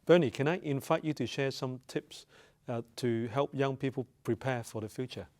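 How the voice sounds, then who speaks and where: A man's voice: medium pitch, moderate pace, a little mellow. A man speaking as an interview host, putting questions in a conversational style.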